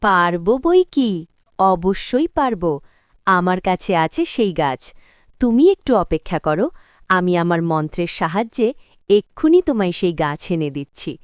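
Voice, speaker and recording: A woman voicing a gentle, coaxing magical fairy in a fairy-tale cartoon, with formal storybook phrasing. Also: fairly low pitch, expressive, normal speed, clean tone, no room sound, clean studio sound, lightly acted. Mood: assured, obliging. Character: kindly magical cave fairy, female, testing with treasure.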